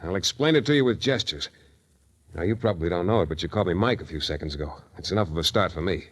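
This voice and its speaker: An actor playing a wry, terse, flirtatious hardboiled private detective in a 1940s radio drama. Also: male voice, low-pitched, expressive, quick pace, breathy edge. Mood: intent, confident. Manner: wry, terse tough-guy patter. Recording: 1940s radio recording with music.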